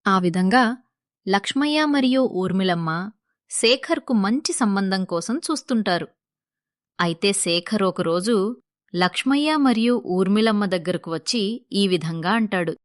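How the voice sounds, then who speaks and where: A woman's voice: medium pitch, lively intonation, a little slow, no room sound. Female storyteller, dry studio-like sound. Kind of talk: scripted moral story narration.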